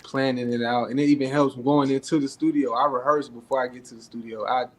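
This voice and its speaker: A young man with a naturally raspy voice, deliberately projecting so he isn't heard as too quiet. He talks laid-back with many fillers, on a podcast mic. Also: mid-range voice, fairly even intonation, slight background noise. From Atlanta, US. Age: young adult. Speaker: rapper guest. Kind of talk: casual interview talk.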